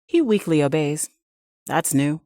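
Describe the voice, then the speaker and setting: A woman's voice: sits low, animated, measured pace, dark tone, dry recording, clean studio sound. A woman playing a nurse in an audio drama, narrating theatrically in the first person, soothing on the surface and vengeful underneath. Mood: cold, brooding. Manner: theatrical, swinging between cooing reassurance and private contempt. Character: vengeful nurse, sweetly soothing outside, cold and bitter within.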